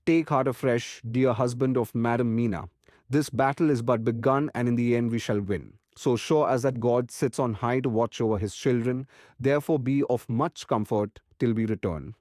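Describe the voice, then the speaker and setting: A man voicing an earnest foreign professor and monster hunter in an audiobook, with halting grammar and grand rhetoric. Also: mid-range voice, a little mellow, no echo, clean studio sound, natural voice. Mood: rousing. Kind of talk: dramatised audiobook narration.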